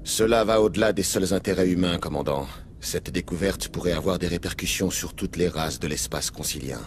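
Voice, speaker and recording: A man playing a grave, seasoned alien agent in a French video game, speaking with measured authority. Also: low-pitched, a little hiss or hum, natural voice.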